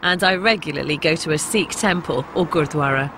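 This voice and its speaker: A woman's voice: low-pitched, fairly quick, background noise. A woman with an English accent presenting a personal documentary, in narration and to camera. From England.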